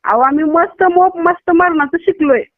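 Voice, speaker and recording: High-voiced Maharashtrian woman on tinny phone line. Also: moderate pace, dry recording. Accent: Maharashtrian Marathi. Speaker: doctor. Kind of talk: casual phone conversation. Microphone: phone call.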